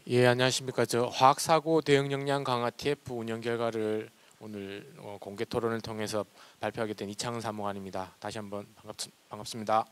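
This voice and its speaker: A man's voice: fairly low pitch, flat delivery, brisk, few pauses, slight background noise. A man with a South Korean regional accent, presenting formally as a government official in a nervous, hesitant way. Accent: South Korean regional dialect.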